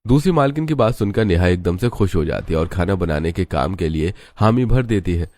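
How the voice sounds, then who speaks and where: A man's voice: low voice, lively intonation, brisk, a little mellow, dry recording. A man narrating a scripted moral story.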